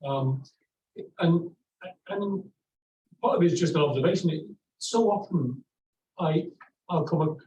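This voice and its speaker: Man, 60s, fairly high voice, halting speech, roomy echo, off-mic. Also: dark tone, lo-fi sound. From England. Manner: halting, many restarts and fillers. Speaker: audience member asking a question. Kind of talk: academic discussion. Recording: echoey room from far off, faint noise.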